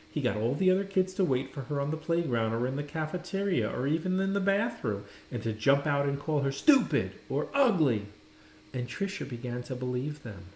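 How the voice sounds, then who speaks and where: A man reading a children's story aloud, dramatically, with pauses and stressed words. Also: on the high side. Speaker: storybook reader. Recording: roomy echo, faint background noise.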